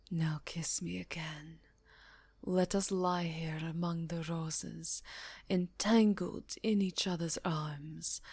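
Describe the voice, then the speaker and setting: A woman playing a seductive, predatory yet tender vampire countess in a whispery ASMR roleplay, breathy, intimate and coaxing. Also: deep voice, no room sound, full character voice. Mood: tender, pleased. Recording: soft music behind the voice.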